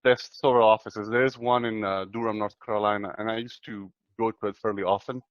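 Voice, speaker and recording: A man from Chile in his thirties, talking in a casual interview as the guest, soft-spoken and hesitant with many fillers. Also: lowish voice, steady tone, quick pace, no echo, lo-fi sound. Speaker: guest computer scientist.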